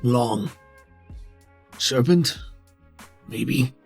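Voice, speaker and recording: A man's voice: medium pitch, very slow, gravelly, slightly rough, soft background music, full character voice. A man voicing a terse, guarded cave dweller in a tabletop game, speaking in clipped, broken sentences.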